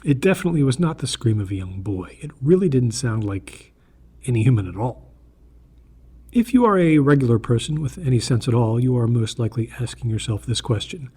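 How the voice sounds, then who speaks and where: A man reading a scripted first-person horror story in a deliberate, earnest manner, with a slightly husky voice. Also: mid-range voice, expressive, warm tone.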